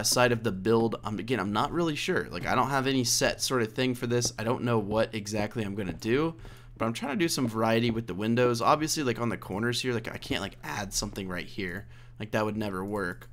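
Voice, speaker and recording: A slightly hoarse man giving a casual, rambling let's play commentary, thinking aloud and correcting himself as he goes. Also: medium pitch, fairly bright tone. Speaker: video game let's play host.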